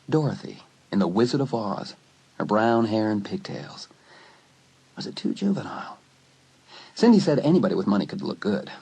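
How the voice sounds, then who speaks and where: A man narrating a dramatised teen horror story in an expressive, dramatic storytelling manner. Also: fairly low pitch, gravelly, breathy edge, low-quality recording. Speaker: audiobook narrator.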